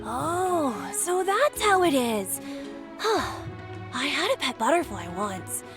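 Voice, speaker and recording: An actress voicing a curious, naive young woman in a game, wondering and slow-spoken. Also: high-pitched, very animated, over music, heavily acted.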